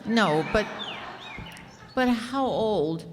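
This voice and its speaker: A woman's voice: medium pitch, animated, drawn-out words, over music. A woman acting an inquisitive, teasing little girl who stubbornly doubts, in a radio play. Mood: curious. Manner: teasing, matter-of-fact questioning. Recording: echoey hall, noisy live stage recording.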